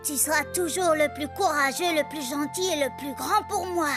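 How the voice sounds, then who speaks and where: A woman plays a tiny cartoon snail boy with a high, childlike voice. He sounds timid and gentle, a loyal friend prone to worry, with faint music behind him. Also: normal speed, natural voice. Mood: tender, worried. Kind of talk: French-dubbed children's cartoon acting.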